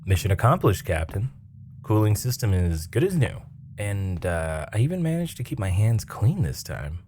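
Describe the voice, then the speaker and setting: Male actor as flirty starship mechanic, fairly deep, breathy, teasing, intimate ASMR roleplay, noticeable background noise. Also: big pitch swings, moderate pace, darkish tone. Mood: playful, flirty. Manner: teasing, breathy, dropping into near-whispers. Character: seasoned spaceship crewman, flirty, chatty, teasing mechanic. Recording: clearly noisy ambient background hum.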